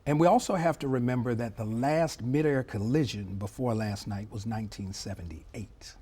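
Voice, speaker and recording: A middle-aged man speaking as an expert interview guest, explaining in a measured, emphatic way and often checking in with a short tag question. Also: mid-range voice, dark tone, breathy edge. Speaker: former air traffic controller, interview guest. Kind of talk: live TV news interview, explanatory commentary.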